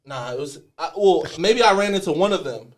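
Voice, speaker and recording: High-pitched male podcast host, loose, profane, rambling. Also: expressive, fairly quick. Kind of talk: casual podcast chat.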